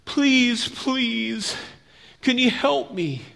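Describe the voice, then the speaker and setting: Casting: male storyteller as injured robbery victim, high, creaky, plaintive and weak, for a kids' tale. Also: lively intonation, a bit bright, big-room sound, full character voice. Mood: pleading. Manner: slow, plaintive pleading. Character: wounded robbery victim, pleading weakly in pain. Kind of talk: storytelling for children.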